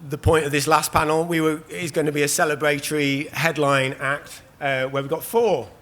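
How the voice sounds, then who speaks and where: A man, hoarse from losing his voice, hosts a live conference panel in a loose, chatty way with many ums and restarts. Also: a little high, little pitch movement, moderate pace, fairly bright tone. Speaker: panel host. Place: conference stage at a trade show.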